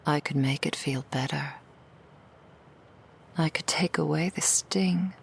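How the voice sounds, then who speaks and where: A woman playing a seductive female demon in an ASMR roleplay, coaxing the listener in a breathy whisper with soothing, insidious persuasion. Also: low voice, slow pace, a little hiss or hum, heavily acted. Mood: coaxing, falsely tender. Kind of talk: ASMR roleplay, intimate monologue.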